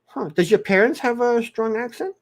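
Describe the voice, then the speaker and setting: A man with a mixed South African and Canadian accent, hosting a casual live chat in an animated, chatty way. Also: very high-pitched, normal speed. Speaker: livestream host.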